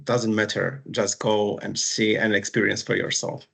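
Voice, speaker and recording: Guy on a video call, gravelly voice, lots of uhs and ums, kinda halting. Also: fairly low pitch, little pitch movement, fairly bright tone. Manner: hesitant, many fillers. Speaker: co-host, business and wellbeing strategist. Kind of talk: casual video-call chat.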